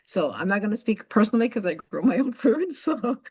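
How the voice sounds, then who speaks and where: A woman's voice: mid-range voice, very animated, brisk, darkish tone, breathy edge. A woman who grew up in Jamaica, chatting casually on a video call and telling a personal story with frequent fillers. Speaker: participant asking a question. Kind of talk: casual online meeting chat.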